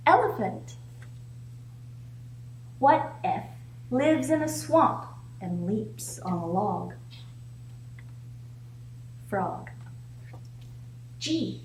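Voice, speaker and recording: A woman reading a picture book aloud to young children as a storytime host, with an encouraging, sing-song way of prompting her listeners. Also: mid-range voice. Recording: echoey room with faint background noise.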